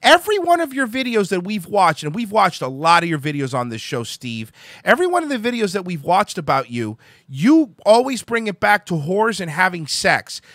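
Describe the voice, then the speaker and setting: Cast a male radio host from Cuba, Spanish first language, brash and mocking. High, lively voice that keeps interrupting. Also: normal speed, hardly any pauses, no room sound, studio quality. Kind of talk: insult-heavy provocative interview.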